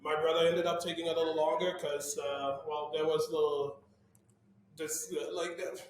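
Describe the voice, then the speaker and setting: A man from Haiti, a guest chef, talking in relaxed casual chat. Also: on the high side, moderate pace, a little hiss or hum. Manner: relaxed, laid-back, frequent "you know". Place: bar under construction. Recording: very echoey room, away from mic.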